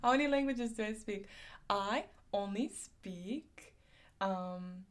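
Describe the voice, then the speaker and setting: A woman's voice: medium pitch, slow pace. A young woman from San Diego with a lisp, chatting casually on a live stream, reading chat comments aloud and laughing often. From San Diego, USA.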